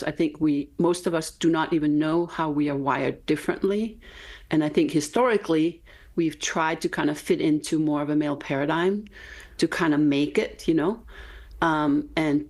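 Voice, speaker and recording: A woman's voice: deep voice, darkish tone. A woman in her fifties with a Danish accent, speaking earnestly as an author guest in a TV interview, often tagging her points with "right?". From Denmark. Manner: earnest, emphatic, frequent "right?" tags.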